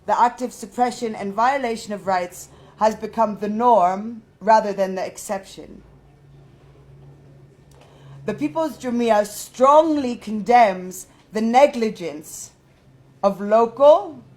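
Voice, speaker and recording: A woman reads a prepared statement as an activist, formal, emphatic and deliberate in her phrasing. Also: fairly high pitch. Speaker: activist speaker.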